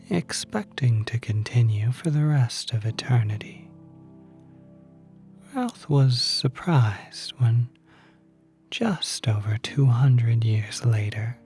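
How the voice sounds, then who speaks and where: A man with a soft, dark, whispery voice narrates the ending of a story. He takes it very slowly, over quiet music. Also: mid-range voice. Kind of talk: scripted story epilogue narration.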